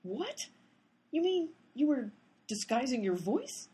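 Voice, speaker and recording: A woman's voice: high-pitched, huge pitch swings, slow pace, mellow, breathy edge, exaggerated voice. A woman playing an exasperated, sarcastic private detective in a comedic radio play. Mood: shocked.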